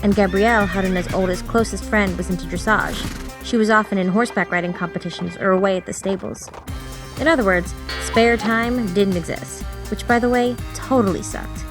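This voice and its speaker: A woman's voice: mid-range voice, steady tone, fairly quick. A woman reading scripted story narration as an audiobook narrator, with background music. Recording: music behind the voice.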